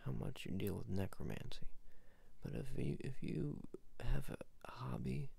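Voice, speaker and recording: A man doing an ASMR roleplay as a hesitant magic ring salesman, speaking in a breathy, creaky half-whisper and often repeating himself. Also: medium pitch, animated, a little slow, mellow. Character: shifty, hesitant seller of magic rings. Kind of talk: ASMR roleplay, soft improvised salesman patter.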